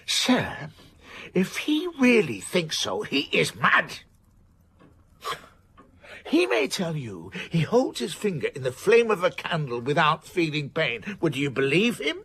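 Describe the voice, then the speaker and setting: A man's voice: fairly high pitch, huge pitch swings, takes it slowly, a bit bright, slightly rough, heavily acted. An actor playing an imposing, blunt older man of letters, formal and emphatic, moody yet affectionate, in a period radio drama. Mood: emphatic, scornful.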